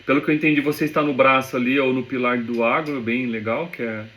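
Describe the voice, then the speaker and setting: A man from Goiânia, a business owner answering interview questions on a video call in a polite, measured way. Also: medium pitch, fairly even intonation, fairly bright tone, slight background noise. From Goiânia, Brazil. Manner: polite, measured, repeats words.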